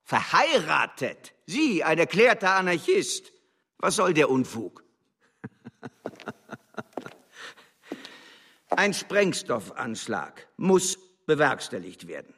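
An actor playing a cynical, imperious diplomat spymaster in a radio drama, lecturing with deliberate condescension. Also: male voice, on the high side, sing-song, creaky, a bit bright, breathy edge, heavily acted. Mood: contemptuous. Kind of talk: German radio drama acting.

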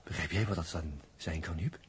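A man's voice: deep voice, brisk, breathy edge. An actor playing an observer who gives dramatic running commentary on what he watches in a radio play. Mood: hushed, puzzled. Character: excitable male observer reporting what he watches. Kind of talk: 1960s Dutch radio play acting. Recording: faintly noisy 1960s radio recording.

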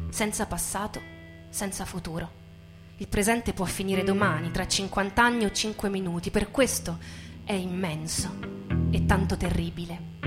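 A woman giving a dramatic literary stage reading, expressive and measured, with deliberate pauses. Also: medium pitch. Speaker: stage performer reading a narrative. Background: live musical accompaniment with guitar.

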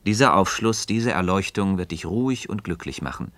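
An actor playing a learned, earnest and gently ironic Freemason friend who teaches in a measured, rhetorical way in a radio drama. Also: male voice, deep voice, lively intonation, no echo. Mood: earnest, instructive. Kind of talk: scripted philosophical dialogue in German radio drama.

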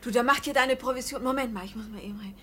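An actress playing a devoted, practical wife in a 1950s German radio drama, gently encouraging in manner. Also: female voice, fairly high pitch, rapid-fire, husky.

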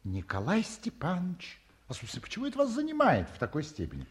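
A man acting in a radio play as an eminent elderly academician, genteel and brisk, with confident, wry self-mockery. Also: high voice, huge pitch swings. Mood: puzzled. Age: older.

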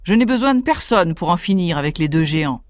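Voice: mid-range voice, animated, a little fast, no echo, clean studio sound, faint music behind, close to an everyday voice. A storyteller voicing a boastful, cunning little male tailor who brags in a cocky, self-satisfied way.

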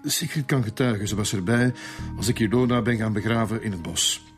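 A man's voice: lowish voice, brisk, soft background music, close to an everyday voice. An actor with a Flemish accent playing a desperate, pleading middle-aged father in a radio drama. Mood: anxious, insistent.

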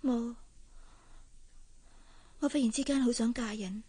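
A woman's voice: fairly high pitch, a little slow, warm tone, husky. A woman acting in a radio drama as a sad young housemaid with a Hong Kong accent, guarded and hesitant as she hides her heartache. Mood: downcast.

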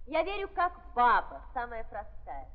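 A woman's voice: a little high, huge pitch swings, unhurried. An actress playing a playful, self-assured society lady with an arch, coy manner in 1930s Russian radio theatre. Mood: coy protest. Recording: muffled 1930s recording, long hall reverb.

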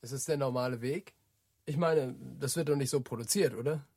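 An actor playing a wry man in radio drama conversation, husky-voiced, questioning and teasing. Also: mid-range voice, fairly quick, darkish tone, lightly acted. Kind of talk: naturalistic radio drama dialogue.

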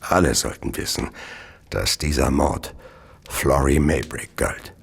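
An actor in a German radio play voicing a deranged, obsessive murderer with a gravelly, breathy voice and a halting delivery. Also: male voice, extremely low pitch, slight background noise, full character voice. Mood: cold confession. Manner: halting, slow, erupting into frenzy. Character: deranged, obsessive Victorian murderer, brooding and confessing.

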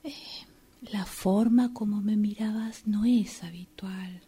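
Actress as slow-spoken, anxious Argentine woman. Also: mid-range voice, fairly even intonation, mellow, breathy edge. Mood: reproachful. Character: anxious but reproachful, lecturing woman under threat. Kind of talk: radio drama acting.

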